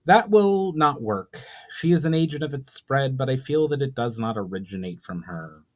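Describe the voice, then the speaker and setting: A man's voice: mid-range voice, lively intonation, normal speed, natural voice. A man voicing a courteous, earnest elf envoy with formal wording in a fantasy story. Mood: grave.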